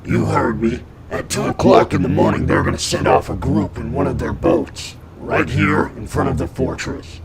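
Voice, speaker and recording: Man as a gravelly, sinister informant. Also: fairly low pitch, very animated, moderate pace, hardly any pauses, a loud crowd. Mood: cold scorn. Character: sinister, scornful male informant, coldly commanding.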